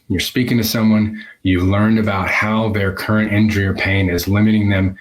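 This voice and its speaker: A man with a fairly low voice speaks as a business coach in a conversational instructional video. He leans on his key points, often repeating phrases for emphasis. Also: poor audio. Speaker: business coach for physical therapists.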